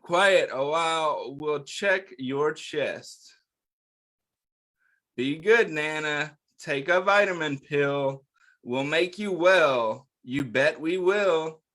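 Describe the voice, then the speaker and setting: A man with a fairly high voice hosts storytime for little kids at a very unhurried pace. He reads in simple phrases and speaks straight to the children, asking questions and answering them in an encouraging way. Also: a bit bright. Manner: talks directly to young listeners, asking questions and answering them. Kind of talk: interactive picture-book read-aloud for young children.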